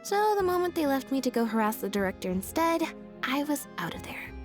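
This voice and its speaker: A deadpan young magician's assistant, music behind. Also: female voice, high voice, a little fast. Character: laid-back, deadpan young female stage magician's assistant. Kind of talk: video game voice acting. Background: game background music.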